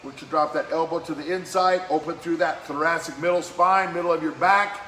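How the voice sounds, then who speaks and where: Male fitness coach, slightly hoarse voice, bright and forceful tone, encouraging workout cues. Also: on the high side, fairly even intonation. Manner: encouraging, brisk exercise cues. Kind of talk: live-streamed workout cueing. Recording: echoey room with faint background noise.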